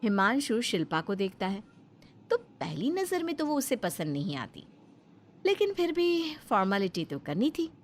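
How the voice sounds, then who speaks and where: A woman's voice: medium pitch, sing-song, moderate pace, slight background noise. A woman storyteller narrating a scripted moral story.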